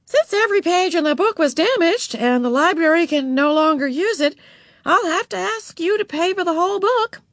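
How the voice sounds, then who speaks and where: A woman's voice: extremely high pitch, lively intonation, normal speed, fairly bright tone, no room sound, clean studio sound, pitched above the performer's own voice, heavily acted. A woman voicing an understanding children's librarian in a storybook, polite and sing-song. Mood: regretful, matter-of-fact.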